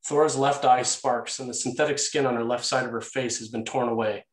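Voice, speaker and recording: A man with a medium-pitched voice narrates a screenplay, reading out action and scene headings. He is recorded in an echoey room. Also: fairly even intonation, brisk.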